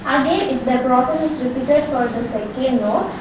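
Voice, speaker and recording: A young woman student with an Indian accent, reciting a prepared presentation in a halting way. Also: high voice. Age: young adult. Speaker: student presenter and quiz asker. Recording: very echoey, noisy, distant mic.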